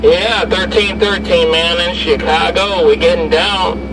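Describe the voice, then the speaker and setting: A man's voice: high-pitched, fairly even intonation, a little slow, distant mic. Man chattering on CB, noisy car. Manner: repetitive, slangy radio patter. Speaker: CB radio operator. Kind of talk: casual CB radio chat with handles and call-outs. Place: inside a parked or moving SUV. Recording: noisy car interior, away from mic.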